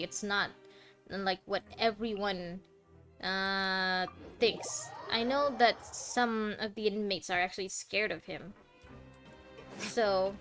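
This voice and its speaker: A woman's voice: mid-range voice, fairly bright tone. A woman giving casual, rambling live commentary as she plays a video game. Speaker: game streamer.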